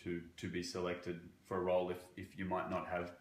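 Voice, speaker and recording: A man from Croatia working as a banking relationship manager, answering interview questions in a halting way with many fillers. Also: sits low, monotone, echoey room, slight background noise. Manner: halting, with frequent fillers and restarts.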